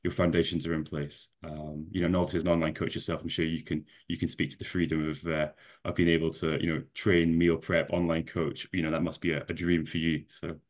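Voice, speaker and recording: A low-pitched man from Scotland chatting as a podcast guest. He talks in a loose, rambling way, circling back with "you know" and half-started phrases, and his voice sits deep and steady. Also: a little fast. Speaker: podcast guest, bodybuilding competitor. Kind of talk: casual conversational interview.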